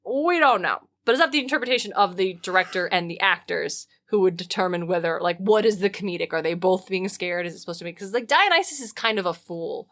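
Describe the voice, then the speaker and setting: A woman hosting a podcast in casual, comedic chat, animated and rambling with slangy asides. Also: on the low side, fast talker, hardly any pauses. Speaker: podcast host.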